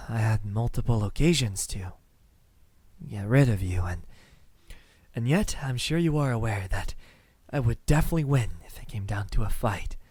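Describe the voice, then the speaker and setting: A man playing a lonely, centuries-old vampire suitor in an ASMR roleplay, speaking softly and haltingly with tender words that slip into whispers. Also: lowish voice, husky, dry recording. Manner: soft-spoken, halting, tender, slipping into whispers.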